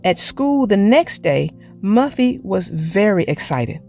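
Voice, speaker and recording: Slow, animated woman reading a storybook. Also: medium pitch, creaky. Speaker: children's book narrator. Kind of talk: scripted storybook narration for children. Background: music.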